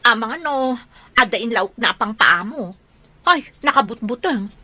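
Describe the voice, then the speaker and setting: A woman's voice: on the high side, animated, unhurried, pushed and bright, no echo, full character voice. A woman playing a prickly young Filipino woman rebuffing a suitor in a radio drama. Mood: annoyed.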